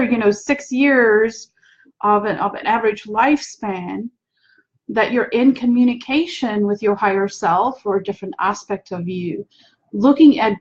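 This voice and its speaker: A woman from South Africa with a South African accent, a native Afrikaans speaker, giving a reflective, unhurried casual talk as host. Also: mid-range voice, darkish tone, muffled recording. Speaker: hypnotherapist host.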